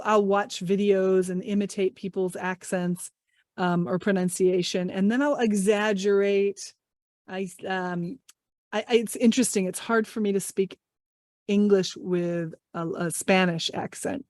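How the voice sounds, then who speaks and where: A woman's voice: medium pitch, darkish tone, no echo, studio quality. Upbeat woman on a video call. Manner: upbeat, encouraging, asks many questions. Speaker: English language trainer. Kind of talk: online workshop talk. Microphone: video call mic.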